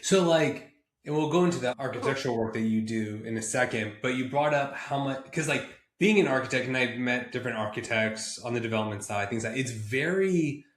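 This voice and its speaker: A man's voice: medium pitch, a little fast. A man hosting an interview in a casual, conversational way. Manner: informal, conversational. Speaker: podcast host. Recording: roomy, echoing sound.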